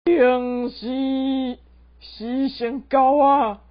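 A woman's voice: sits high, little pitch movement, drawn-out words. Girl playing a stern underworld messenger, muffled recording. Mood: stern, commanding. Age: teens. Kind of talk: amateur radio drama acting. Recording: dull lo-fi student recording.

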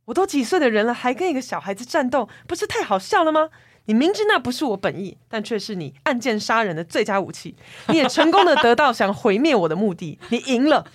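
一位女性演繹悲憤又愛說教的中年咖啡店老闆娘，口吻像鄉土劇般誇張。. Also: female voice, on the high side, sing-song, fast, breathy edge, no echo, clean studio sound, voice pushed up. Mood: wounded, accusing. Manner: melodramatic, preachy, soap-opera delivery. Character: indignant middle-aged female cafe boss, melodramatic and wounded.

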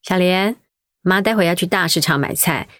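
A woman's voice: lowish voice, moderate pace, a bit bright, soft background music, close to an everyday voice. An actress playing a kind, patient middle-aged mother who tells her daughter a family story and looks after an elderly aunt. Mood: storytelling. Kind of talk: radio drama acting.

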